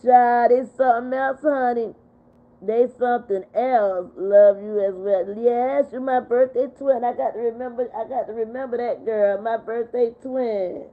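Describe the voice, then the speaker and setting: A woman in her fifties hosting a casual livestream chat, reading out viewer comments and answering them in an animated way. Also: fairly high pitch, unhurried. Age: 50s. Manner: animated, talks back to viewers. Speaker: livestream host. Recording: phone-like narrow sound.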